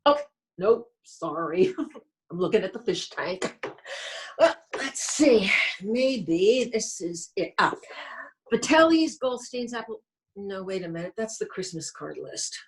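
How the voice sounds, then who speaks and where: Woman acting a cranky old wife, swooping pitch. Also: very animated, slow, creaky, background noise, full character voice. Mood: grumbling, fussing. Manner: grumbling, muttering to herself. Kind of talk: stage play reading over video call.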